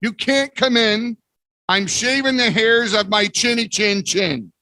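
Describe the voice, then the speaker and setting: A man voicing a stubborn little male pig who shouts back through his door. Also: sits high, unhurried, no room sound, studio quality, exaggerated voice. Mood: defiant.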